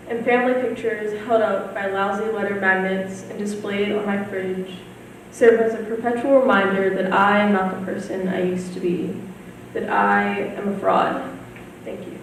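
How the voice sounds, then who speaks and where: Teenage girl, distant in echoey room. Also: medium pitch. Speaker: student reader. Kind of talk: scripted poetic reading. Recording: very echoey room, distant mic, faint noise.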